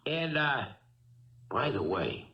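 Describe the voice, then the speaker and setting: An actor playing a cool young armed killer in a radio drama, talking with mock politeness and quiet menace. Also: male voice, mid-range voice, sing-song, slow pace. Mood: coolly commanding. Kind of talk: radio mystery drama acting. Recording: dull old radio recording, faint music.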